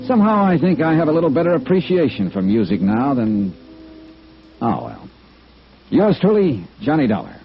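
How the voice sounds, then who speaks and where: An actor playing a wry, hard-boiled American insurance investigator, narrating in a clipped 1950s radio noir style. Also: male voice, fairly high pitch, huge pitch swings, normal speed. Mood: reflective, wry. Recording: dull vintage radio recording, music behind.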